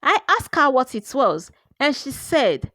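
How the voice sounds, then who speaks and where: A Nigerian woman narrates a first-person folktale with theatrical expression. Her delivery is unhurried and very lively in pitch, in a dry, close recording. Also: no echo. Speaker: storyteller.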